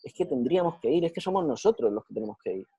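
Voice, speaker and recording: A man's voice: fairly high pitch, animated, rapid-fire, dark tone, slightly rough, no echo. A man from Spain with a Spanish accent, giving an enthusiastic, repetitive answer in a video call after a lecture. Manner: enthusiastic, repeats phrases for emphasis. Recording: muffled video call audio.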